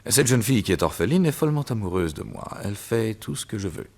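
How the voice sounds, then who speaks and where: Deep sneering evil doctor guy, swoops all over, old radio drama. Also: expressive, fast talker, a little mellow. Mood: coldly smug. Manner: sneering, theatrical, laughs at his own cruelty. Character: gloating villainous doctor and hypnotist, male, middle-aged. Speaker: villain. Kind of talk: vintage radio drama acting.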